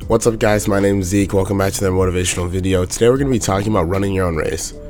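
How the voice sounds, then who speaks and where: Young male motivational host, very low voice, raspy and hoarse, flat delivery, faint music underneath. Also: rapid-fire. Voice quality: raspy, monotone. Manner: plain-spoken, repeats his point over and over. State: hoarse from a sore throat. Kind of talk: casual motivational monologue.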